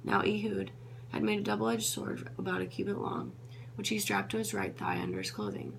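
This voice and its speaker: A woman's voice: medium pitch, a little fast, vocal fry. A woman reading scripture aloud, sometimes stumbling over names and correcting herself. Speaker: Bible reader. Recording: noisy with a steady hum.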